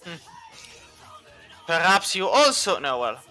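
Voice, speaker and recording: A man's voice: a little high, very animated, slow pace, bright, forceful tone, shouting, low-quality recording. A man streaming a video game, commenting playfully and reading his character's lines in a theatrical way. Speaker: gaming streamer. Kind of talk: let's play commentary. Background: game music.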